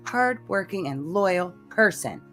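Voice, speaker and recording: Spoiled, headstrong heiress, acted by a woman, low voice with dramatic swings, petulant, comedic radio play. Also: animated, takes it slowly, fairly bright tone, soft background music. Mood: defiant. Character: defiant, spoiled rich heiress daughter.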